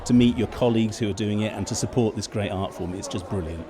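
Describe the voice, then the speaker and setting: A man's voice: on the low side, fast talker, over music. A man giving fluent, explanatory answers as an interview guest. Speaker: theatre company chief executive, interview guest. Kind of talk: backstage interview. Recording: busy event with crowd background.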